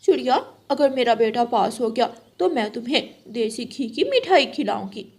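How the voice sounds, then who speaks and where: A woman's voice: fairly high pitch, lively intonation, moderate pace, a little mellow, slightly rough. A woman voicing an exasperated mother crow in a children's moral cartoon, complaining earnestly. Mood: earnest request.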